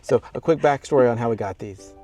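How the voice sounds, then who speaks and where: Quick, mellow-voiced man. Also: mid-range voice, soft background music. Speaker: vlog host. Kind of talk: casual talk to camera.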